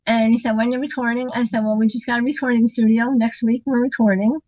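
A woman telling a long story in a casual interview, animated, acting out what people said and laughing often. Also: fairly high pitch, fast, lo-fi sound. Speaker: interview guest, drummer and singer.

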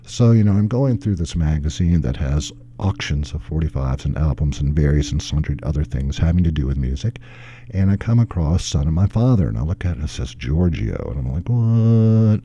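A hoarse man, rambling easily as a radio DJ between songs. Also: extremely low pitch, lively intonation, brisk, dark tone, muffled recording. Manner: rambling, chatty, easygoing storyteller. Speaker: radio DJ and show host. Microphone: studio microphone.

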